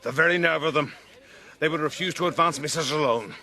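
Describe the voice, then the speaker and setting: A man playing a pompous, indignant gentleman captain, scornful of anyone who crosses him, in a period radio drama. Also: on the high side, a little fast. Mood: outraged, contemptuous. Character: blustering, indignant gentleman captain beset by creditors.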